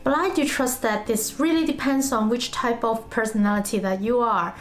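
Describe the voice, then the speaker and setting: Woman, Cantonese native, vlogger, earnest chatty delivery, fairly high voice, soft music underneath. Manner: earnest, chatty, self-referencing. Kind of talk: casual explanatory vlog.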